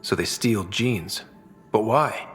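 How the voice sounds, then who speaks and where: Earnest young space explorer, music behind. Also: male voice, fairly low pitch, slow pace, a bit bright. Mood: calm, serious. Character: young male human space explorer, earnest, decisive leader. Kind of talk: video game voice acting.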